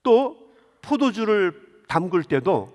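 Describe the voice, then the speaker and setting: A man's voice: sits high, sing-song, slow, darkish tone. A man preaching a sermon as a pastor, telling stories and putting rhetorical questions directly to his congregation in a church. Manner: engages listeners with direct questions. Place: large indoor church sanctuary. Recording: long hall reverb of a church.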